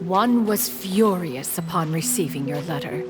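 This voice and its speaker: A woman voicing a proud ancient immortal in a video game, telling a story in a formal, archaic, measured and haughty manner. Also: on the low side, lively intonation, music in the background. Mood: reflective, dignified. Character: proud, stern ancient female immortal bird adeptus, secretly tender.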